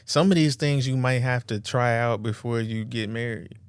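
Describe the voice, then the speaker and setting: Forty-year-old male co-host, playful and slangy, middle-pitched voice. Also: clean tone, no room sound. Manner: joking, slangy, quick to laugh. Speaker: podcast co-host. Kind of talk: casual chat.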